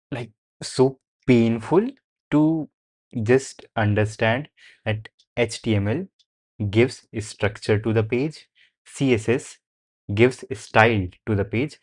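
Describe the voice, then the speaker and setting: A young man with a native Hindi background talks on a live stream in a slightly low voice. He thinks out loud and answers viewer questions in a loose, casual way, dropping the occasional swear.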